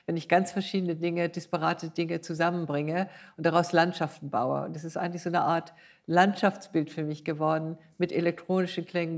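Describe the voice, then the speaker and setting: An older woman speaks reflectively as an interview guest. Her voice is creaky and stays within a narrow pitch range. She is thoughtful and hesitant, feeling her way toward the right words.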